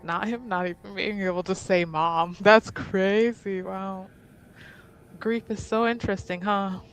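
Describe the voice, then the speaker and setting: A woman playing a tabletop roleplaying game, talking casually and thoughtfully about her character with halting phrasing. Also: medium pitch, steady tone, smooth.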